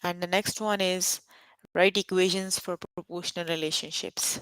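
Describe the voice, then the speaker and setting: A woman's voice: fairly low pitch, fairly even intonation, moderate pace, a bit bright, smooth, no echo, poor audio. A young adult woman teaching a live online math lesson over a computer mic, stopping often to check that students follow. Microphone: computer mic on an online call.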